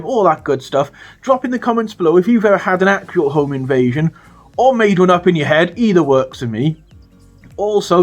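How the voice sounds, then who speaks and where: British guy on his vlog telling a story, kinda high voice, lively and sweary. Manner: animated, chatty, swears freely. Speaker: storytelling vlogger. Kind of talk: casual storytime monologue.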